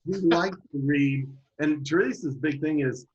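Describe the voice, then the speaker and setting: A middle-aged man speaking as an interview guest, telling personal stories in an animated, conversational way. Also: on the high side, normal speed, highs cut off. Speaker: guest, homeschooling parent.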